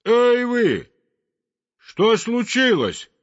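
A man voicing a cheerful, easygoing chimney sweep who calls out loudly in a storybook reading. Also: high-pitched, huge pitch swings, takes it slowly, breathy edge, long reverb tail, muffled recording, pitched above the performer's own voice, exaggerated voice. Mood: calling. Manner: calling out loudly.

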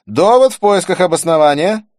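Give the story a man performing a young private detective who is jovial and teasing. The voice is raised high and swings playfully, with exclamatory delivery for an audiobook. The sound is dry and close. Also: lively intonation, brisk, darkish tone, no room sound. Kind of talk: audiobook character voice.

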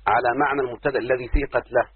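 Husky Egyptian sheikh on a tinny line. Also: male voice, mid-range voice, steady tone, no room sound. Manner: slow, deliberate, questions his listeners. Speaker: sheikh teaching Arabic grammar. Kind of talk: explaining grammar in a lesson. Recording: tinny phone-like line, dry.

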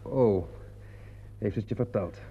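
An actor playing a nervous, over-polite suspect in a radio play, hesitant and slightly formal. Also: male voice, fairly low pitch, slow pace, creaky, mellow, husky, exaggerated voice. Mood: uneasy. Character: nervous, over-polite man under suspicion. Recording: muffled, dull old radio play recording.

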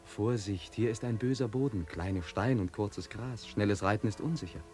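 An actor playing a calm, deferential young courtly squire in a radio play, polite and formal. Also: male voice, fairly low pitch, normal speed, faint music behind, close to an everyday voice. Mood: cautioning, reassuring. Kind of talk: literary radio play acting.

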